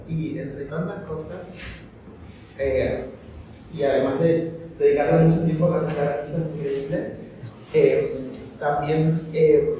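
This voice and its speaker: A man's voice: fairly high pitch, mellow. A man curating and moderating a panel talk, rambling with many fillers as he invites the audience to take part. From migrant living in Madrid. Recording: echoey hall, voice from far off, faint noise.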